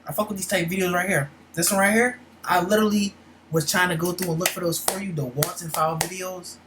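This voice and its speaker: American woman reacting casually, slangy and unfiltered, kinda low voice, noisy home recording. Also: fairly even intonation, fast talker. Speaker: reaction host.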